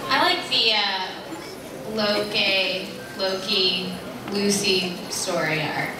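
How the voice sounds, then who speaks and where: A woman's voice: medium pitch, slow, noisy background. A woman voice actress speaking on a convention panel, a bit hesitant and friendly. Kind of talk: casual panel chat. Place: large convention hall. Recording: echoey hall with crowd, far from mic.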